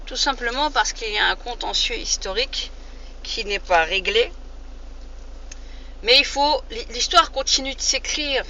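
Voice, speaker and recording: A woman, giving an informal, emphatic opinion monologue to viewers, often halting and repeating phrases to drive a point home. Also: on the high side, bright, forceful tone. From France. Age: 40s. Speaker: vlogger addressing viewers. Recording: muffled lo-fi audio with faint noise.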